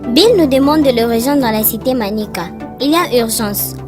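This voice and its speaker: A woman's voice: on the high side, animated, moderate pace, close to an everyday voice. A woman playing the directive young leader of a gang of kids in a cartoon. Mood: concerned. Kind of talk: animated cartoon dialogue in French. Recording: loud music bed behind voice.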